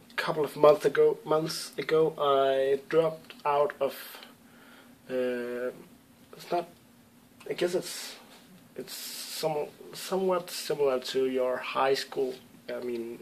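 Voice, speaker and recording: A man's voice: medium pitch, a little hiss or hum. A young man from Denmark with a Danish accent, English not his first language, answering viewer questions in a casual webcam vlog from a room at home, hesitant with many ums and restarts. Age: young adult. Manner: hesitant, lots of ums and self-corrections. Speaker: YouTube gamer. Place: small room at home, indoors.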